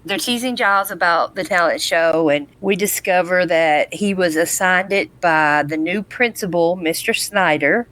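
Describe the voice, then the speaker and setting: A woman's voice: lowish voice, moderate pace, hardly any pauses, a bit bright, poor audio. A woman co-hosting a podcast, giving a casual episode recap in a conversational way. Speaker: podcast co-host.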